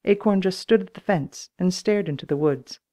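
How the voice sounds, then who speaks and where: A woman's voice: on the low side, normal speed, warm tone. A woman reading a children's story aloud as plain scripted audiobook narration. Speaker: audiobook reader.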